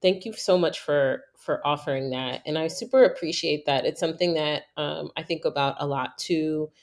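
A woman from New York hosting a casual conversation, reflective and thoughtful, with many fillers and lively storytelling. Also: low-pitched, normal speed.

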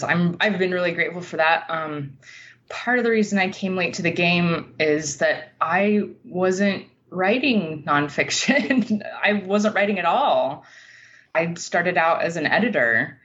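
A woman's voice: lowish voice, fairly bright tone. An American woman from Utah, a writer and teacher, talking casually as an interview guest. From Utah, United States. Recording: dull lo-fi line, faint background noise.

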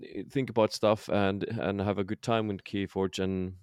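A man's voice: lowish voice, little pitch movement, moderate pace, a little mellow, smooth, no echo, studio quality. A man co-hosting a podcast in casual chat, hesitant and often restarting his sentences. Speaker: podcast co-host.

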